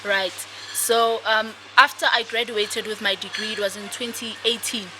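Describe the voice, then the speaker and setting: A young woman from South Africa, a guest author answering interview questions in a soft, hesitant way with many fillers. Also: fairly high pitch, steady tone, moderate pace. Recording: noisy podcast recording.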